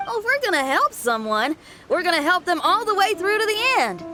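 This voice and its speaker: A woman's voice: very high-pitched, very animated, moderate pace, music in the background. A woman playing a plucky, loyal young rock musician in a video game, with an earnest, spirited manner. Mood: upbeat, determined.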